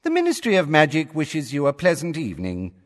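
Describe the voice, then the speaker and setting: A man's voice: on the high side, huge pitch swings, brisk, big-room sound, pitched above the performer's own voice. A man voicing a cool, polite female automated announcer in an audiobook, formal and detached.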